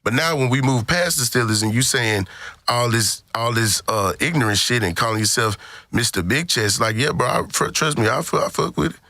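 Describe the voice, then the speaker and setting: An American man with a bright, forward voice, recorded very dry and close. He argues sports like a podcast co-host, opinionated and emphatic, swearing freely and doubling back on phrases to drive them home. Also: mid-range voice, animated, a little fast, no room sound. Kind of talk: casual sports debate.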